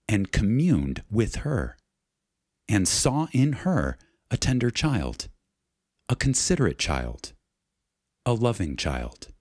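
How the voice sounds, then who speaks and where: Slow, solemn man with a deep, husky voice. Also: no echo, studio quality. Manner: solemn, emphatic, with deliberate pauses. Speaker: reader of a spiritual lecture. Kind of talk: reverent, dramatic reading of a metaphysical lecture.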